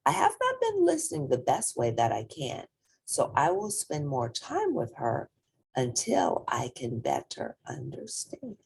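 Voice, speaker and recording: A woman reading a children's picture book aloud in an expressive, deliberate and emphatic storytelling manner. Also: low voice, creaky, husky. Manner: deliberate, emphatic storytelling with spoken asides to listeners.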